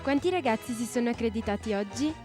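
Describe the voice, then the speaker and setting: A woman's voice: a little high, lively intonation, fast. A woman reporting for a newsreel with scripted questions and links. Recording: music playing behind the voice.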